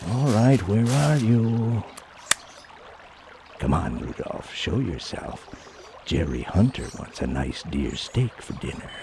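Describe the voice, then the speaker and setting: A man's voice: on the low side, animated, slow, vocal fry, heavily acted. A man playing an uneasy, jumpy deer hunter in a radio play, with a defensive way of talking. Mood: muttering, impatient. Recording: noisy sound-effect bed behind voice.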